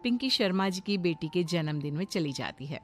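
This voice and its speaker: A woman's voice: on the low side, expressive, normal speed. A woman storyteller reading scripted narration for a moral tale. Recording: music behind the voice.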